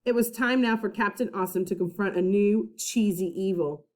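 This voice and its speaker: A woman teacher reading a children's storybook aloud with dramatic emphasis, pausing to put questions to young listeners. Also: medium pitch, darkish tone. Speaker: first-grade teacher.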